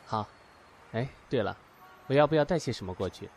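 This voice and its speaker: Man as a wounded young male teacher, reserved, curt, mid pitch, expressive range, audio drama. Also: fairly quick, a little hiss or hum, natural voice. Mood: friendly. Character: guarded, wounded young literature teacher rebuffing his ex-lover. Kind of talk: Chinese amateur audio drama acting. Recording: music behind the voice.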